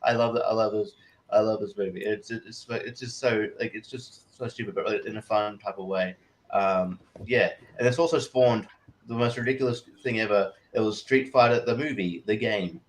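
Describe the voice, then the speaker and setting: A man's voice: fairly low pitch, steady tone, moderate pace, a little hiss or hum. A man on a panel chatting casually, rambling and repeating himself for emphasis. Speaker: film panelist. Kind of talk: casual chat.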